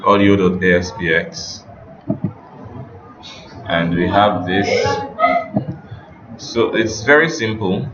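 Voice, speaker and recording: A man giving an informal, step-by-step software tutorial as an instructor, repeating phrases as he explains. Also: low voice, expressive, takes it slowly, pauses often. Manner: repetitive step-by-step explaining, checking understanding. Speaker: web development instructor. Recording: roomy, dull audio with music behind.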